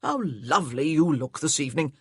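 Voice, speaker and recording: A narrator voicing a hearty, rambling, nearsighted older gentleman in a period audiobook. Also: animated, a little fast, slightly rough, no room sound, clean studio sound. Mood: jovial. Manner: jovial, booming, rambling. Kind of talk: audiobook character voice.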